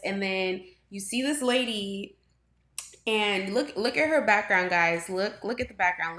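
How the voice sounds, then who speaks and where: A young woman from Trinidad and Tobago hosting a reaction video, talking casually and rambling, full of fillers, restarts and quick laughs. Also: mid-range voice, a bit bright. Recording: roomy echo.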